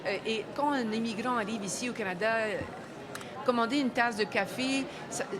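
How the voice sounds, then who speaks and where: A woman's voice: fairly high pitch, normal speed. A chatty woman introducing an author on a live radio broadcast from a fair, using many fillers. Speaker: guest presenting an author. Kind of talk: casual radio interview. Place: immigration fair hall. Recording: live event with crowd behind.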